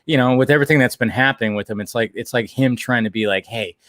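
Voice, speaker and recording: A man's voice: mid-range voice, fast talker, fairly bright tone, no room sound, studio quality. A man co-hosting a recap show in casual chat, rambling informally through the story and throwing in swearing and acted-out quotes. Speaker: podcast co-host recapping cartoon episodes.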